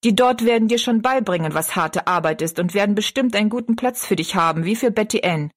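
Lady doing a mean stepmother in an audiobook, cutting and contemptuous, bit husky. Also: mid-range voice, fairly quick, close to an everyday voice. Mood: cold, stern. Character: cold, scornful stepmother, harsh mistress of the house.

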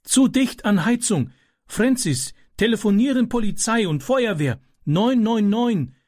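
A man voicing an excited young boy who speaks in short, broken phrases during an emergency. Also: high-pitched, a little slow, no echo, studio quality, pitched above the performer's own voice. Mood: urgent. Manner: clipped, ungrammatical phrasing.

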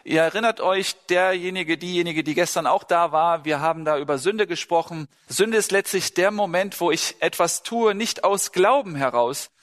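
A male preacher with a slightly high voice speaks to a congregation in a large, echoing hall. His sermon is conversational and turns often to questions aimed straight at the listeners. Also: normal speed, hardly any pauses, a bit bright. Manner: conversational, asks listeners questions, acts out short dialogues. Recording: large hall with long reverb.

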